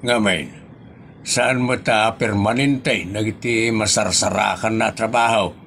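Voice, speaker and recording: A man's voice: lowish voice, unhurried, fairly bright tone, slight background noise. A man with an Ilocano accent playing a weary, grumbling husband and father in a comedy audio drama.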